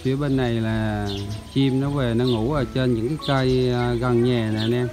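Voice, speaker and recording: A man with a southern Vietnamese accent talks as a casual vlogger. He addresses his viewers in a familiar, chatty way, and music plays clearly behind his voice. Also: medium pitch, little pitch movement, normal speed. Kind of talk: casual vlog narration.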